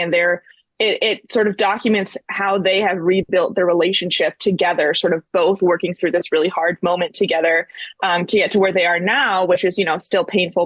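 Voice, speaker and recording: A young woman in her twenties with a somewhat low voice, speaking as a guest in an interview. She tells her story in a reflective, earnest way. The sound is dry and close, with no room around it. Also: fairly bright tone, dry recording. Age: 20s. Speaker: guest, book co-editor. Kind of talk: conversational interview.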